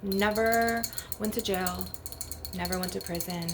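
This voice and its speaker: A woman's voice: mid-range voice, steady tone, unhurried. A woman rambling casually in a blunt, aggrieved, sweary way while making an ASMR video with tapping. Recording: clear background noise with clicking, clinking taps. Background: glass and crystal tapping.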